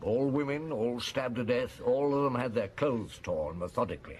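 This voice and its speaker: An actor playing a shrewd middle-aged Paris police chief, who recalls a case in a wry, chuckling way for a radio drama. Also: male voice, medium pitch, moderate pace, a little mellow, a little hiss or hum, lightly acted. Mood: reminiscing. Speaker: narrator and detective.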